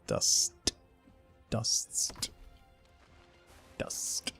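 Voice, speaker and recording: A man's voice: extremely slow, bright, forceful tone, soft background music. A middle-aged man streaming a game, chatting casually with viewers and muttering to himself in between. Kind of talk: casual chat while playing a game, replying to viewers.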